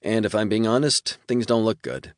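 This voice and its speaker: A man's voice: lowish voice, moderate pace, dry recording, clean studio sound, pitched above the performer's own voice, lightly acted. A man voicing a breezy, folksy male demon who runs his business coldly and calls people Hoss.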